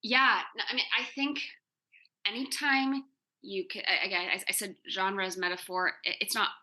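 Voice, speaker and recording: A woman with a strikingly bright, forward voice talks as a guest writer on a panel. She thinks aloud, stopping and doubling back on words as she builds each idea. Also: mid-range voice, fairly even intonation. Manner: halting, repeats words while forming thoughts. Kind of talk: thoughtful panel discussion.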